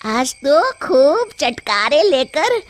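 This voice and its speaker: A woman voicing a gluttonous, gleeful witch in a children's cartoon story, with a shrill cackling voice and drawn-out gloating delivery. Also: sing-song, slight background noise, heavily acted. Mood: delighted, greedy.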